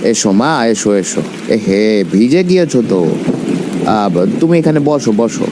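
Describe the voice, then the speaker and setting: A man's voice: on the low side, animated, a little slow, noisy background. A man playing a kindly, fatherly village tea seller in a cartoon story, concerned and soothing, with music behind. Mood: welcoming, concerned.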